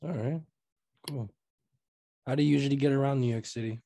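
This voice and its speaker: A man interviewing over a video call for an oral history project, asking loose, halting questions with fillers. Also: medium pitch, fairly even intonation, vocal fry, warm tone, no echo.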